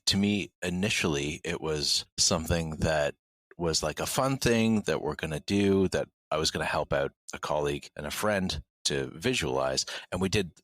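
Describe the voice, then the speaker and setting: A man's voice: extremely low pitch, moderate pace, fairly bright tone, no room sound. A man talking casually and enthusiastically, feeling his way through his thoughts as he goes. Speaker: sound designer, actor, podcast co-creator. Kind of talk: casual reflective discussion.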